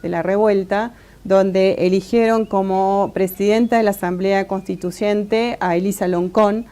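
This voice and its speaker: A woman with an Argentine accent answering interview questions thoughtfully, with many pauses and restarts. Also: medium pitch, steady tone, warm tone. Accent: Argentine Spanish. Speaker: interviewee, political scientist.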